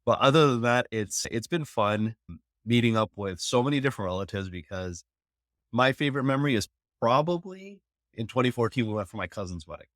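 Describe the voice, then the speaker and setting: A man's voice: medium pitch, lively intonation, brisk, dry recording, clean studio sound. A man in his thirties from New York, telling personal stories as an interview guest in an earnest, reflective way. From New York, United States. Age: 30s. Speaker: interview guest, technology executive.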